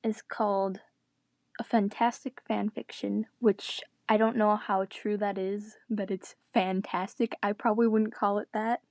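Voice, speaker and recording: Young adult female, informal amateur narrator, self-mocking and prone to giggles, mid-range pitch, close dry recording on a tablet. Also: little pitch movement, a little slow, darkish tone, dry recording. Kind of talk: casual read-aloud of a story with self-mocking asides. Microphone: tablet's built-in microphone.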